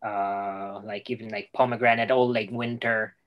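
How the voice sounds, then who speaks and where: A man's voice: fairly low pitch, level tone, moderate pace, highs cut off. A young man with an Italian accent and Italian as his first language, a guest on a casual video call, speaking hesitantly and searching for words. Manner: hesitant, many fillers and restarts. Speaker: guest cook. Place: home room with bookshelves. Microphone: video call, webcam.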